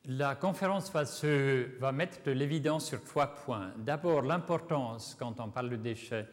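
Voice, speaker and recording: A man from Switzerland, speaking a language that is not his native one, gives a measured, deliberate conference lecture with occasional slips. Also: mid-range voice. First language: not French. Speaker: guest lecturer. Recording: long reverb of a lecture hall.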